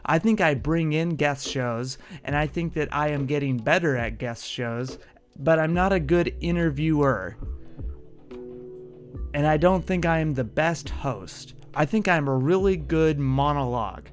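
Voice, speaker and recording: A man with a slightly raised voice holds forth alone on his podcast, blunt and a little combative, swearing as he goes. Faint music sits quietly under his talk. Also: a little high, expressive, measured pace. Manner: punchy, combative, swears freely. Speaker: solo podcast host. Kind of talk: casual solo podcast monologue.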